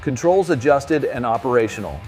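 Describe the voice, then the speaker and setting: A man's voice: mid-range voice, expressive, normal speed, a little mellow. A man giving scripted process commentary in terse, approving remarks. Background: background music.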